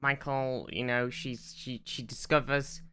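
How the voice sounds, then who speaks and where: A man's voice: mid-range voice, level tone, a little slow, pushed and bright, a little hiss or hum. A man co-hosting a podcast, chatting casually about sci-fi television in rambling explanations with many restarts. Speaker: podcast co-host.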